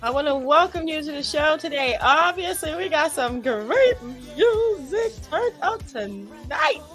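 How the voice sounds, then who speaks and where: A woman's voice: high voice, lively intonation, a little slow, fairly bright tone, faint music behind. A woman hosting an interview show, asking her guests questions with lots of enthusiasm. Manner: enthusiastic, gushing.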